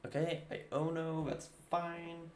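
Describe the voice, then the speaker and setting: A man's voice: on the high side, completely flat delivery, slow pace, slight background noise. A man with a Danish accent, a native Danish speaker, streaming live and casually thinking aloud about his card-game moves. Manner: thinking aloud while playing, casual, muttering asides. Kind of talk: live gameplay commentary.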